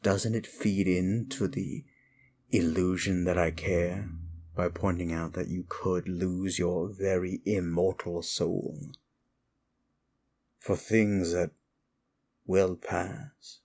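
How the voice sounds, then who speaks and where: A man's voice: deep voice, flat delivery, takes it slowly, darkish tone, breathy edge, heavily acted. A man playing a sly, evil demon who taunts and manipulates in an intimate ASMR roleplay, teasing and condescending. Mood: sly, brooding.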